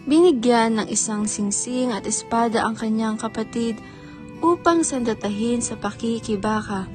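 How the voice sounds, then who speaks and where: A woman's voice: fairly high pitch, normal speed. A woman narrating an epic poem in a scripted, dramatic radio style. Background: faint music.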